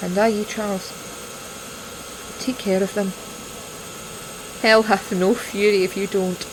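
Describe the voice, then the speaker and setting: A woman's voice: mid-range voice, flat delivery, slow pace, background noise, lightly acted. An actress playing a stern, caring, exasperated woman in an audio drama, blunt and firm. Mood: resigned, firm.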